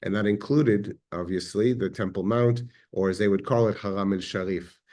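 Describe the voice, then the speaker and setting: A man with an Israeli accent giving a measured, reflective history lecture, pausing now and then with fillers. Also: lowish voice, darkish tone. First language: Hebrew.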